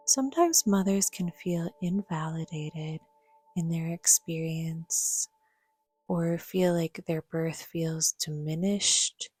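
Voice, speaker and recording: A woman speaks very slowly in a fairly low, soft voice that often drops close to a whisper. She guides a meditation gently and soothingly, leaving measured pauses between phrases. Faint music plays behind her. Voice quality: soft, breathy, half-whispered. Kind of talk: guided yoga nidra meditation, soothing narration.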